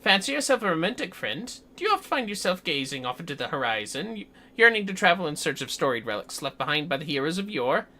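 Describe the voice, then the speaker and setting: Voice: medium pitch, big pitch swings, fast, hardly any pauses, bright, forceful tone, exaggerated voice. A streamer with an androgynous voice voicing a boastful, ale-addled fantasy adventurer, reading game dialogue in theatrical, wordy, old-fashioned language. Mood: grandiose, enticing. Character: boastful, florid ale-addled adventurer pitching treasure hunting.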